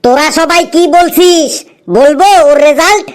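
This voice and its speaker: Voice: very high voice, normal speed. An actor voicing an irritable, bossy shopkeeper in a comedy cartoon, scolding and taunting with sarcasm. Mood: teasing. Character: irritable bald shopkeeper who checks exam results, bossy and mocking.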